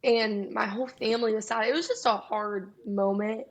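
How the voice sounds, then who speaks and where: A young woman with a raspy voice, a singer-songwriter guest telling her story in a casual, chatty interview with many fillers. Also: fairly high pitch, steady tone, moderate pace. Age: young adult.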